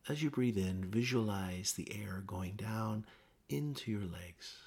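A man gently leading a guided meditation with measured instructions and pauses. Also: fairly low pitch, slightly rough.

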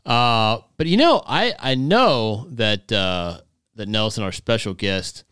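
Deep, smooth male co-host. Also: expressive, takes it slowly, a bit bright, dry recording, studio quality. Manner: loose, enthusiastic, slangy. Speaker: podcast co-host. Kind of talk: casual chat. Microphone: studio podcast mic.

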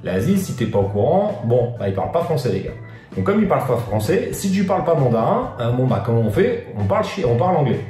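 A man's voice: medium pitch, very animated, fast talker, dark tone. A man talking casually and straight to the viewer, slangy and full of fillers, giving business advice. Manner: slangy, informal, addresses viewer as tu, many fillers. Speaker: online business coach. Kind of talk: casual direct-to-camera advice video. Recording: echoey room with faint guitar music.

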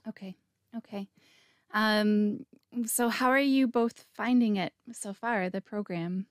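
A woman hosts a podcast interview at an ordinary middle pitch, prompting with short acknowledgements. The sound is dry and close to the mic. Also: slow, dry recording. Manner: friendly, frequent short acknowledgements. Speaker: podcast host. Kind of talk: casual interview.

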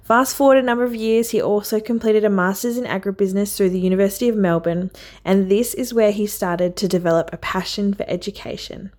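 A woman's voice: mid-range voice, fairly quick, a little mellow, dry recording, studio quality. A woman hosting a podcast, reading an introduction and then encouraging her guest with affirming remarks. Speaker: podcast host. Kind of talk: podcast interview, reads intro then chats.

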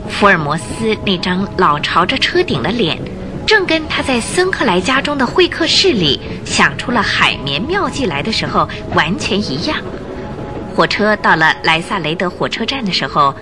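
Lady storyteller for a radio play, really lively intonation, music playing clearly behind her. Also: medium pitch, moderate pace. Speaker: narrator. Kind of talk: dramatic scripted storytelling narration.

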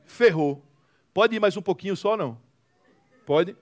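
Man, high voice, Brazilian accent, motivational public speaker, dramatic storyteller, emphatic repetition, humorous. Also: lively intonation, a little slow. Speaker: guest speaker, social movement founder. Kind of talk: motivational testimony to a church audience.

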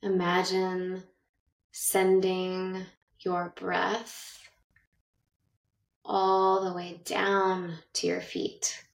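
A woman guiding a meditation with gentle, measured instructions and long pauses, speaking in narration style. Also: on the low side, monotone, creaky. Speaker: meditation guide. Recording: roomy echo, distant from mic.

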